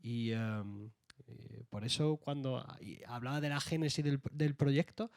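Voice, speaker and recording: A man's voice: medium pitch, a little slow, vocal fry, darkish tone, no echo, clean studio sound. A young man from Jaén with an Andalusian accent, speaking as an author on a panel, hesitant with many fillers and restarts. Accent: Andalusian Spanish. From Jaén, Spain. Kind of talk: round-table discussion.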